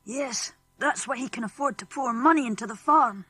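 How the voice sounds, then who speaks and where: Woman playing an earnest, high-voiced, downcast boy. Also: normal speed, sharp tone, husky, no room sound. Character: miserable young farm boy, earnest and loyal.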